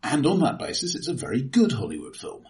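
A man's voice: medium pitch, wildly swooping pitch, fast, darkish tone. A man co-hosting a casual, analytical film discussion, precise and a little pedantic, often repeating words as he forms a point. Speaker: podcast co-host.

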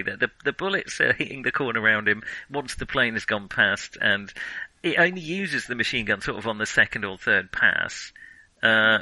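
A British man co-hosting a film podcast, chatting casually in a hesitant, halting way. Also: lowish voice, sharp tone, no room sound, rough recording.

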